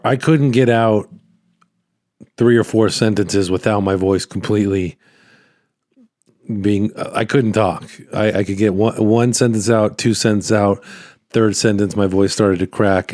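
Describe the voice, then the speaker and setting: A man with a deep, low voice talks casually as a solo podcast host. His voice is somewhat hoarse from a recent illness and falters at times. He rambles in a halting way, repeating phrases, and the sound is dry with very little room echo. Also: fairly even intonation, a little mellow. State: hoarse, voice cutting in and out after illness. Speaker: podcast host, small business owner.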